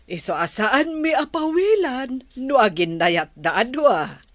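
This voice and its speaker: A woman acting in an Ilocano radio drama as an accepting, unhurried madam of a household. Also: high-pitched, sing-song, dry recording, full character voice. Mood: calm, accepting.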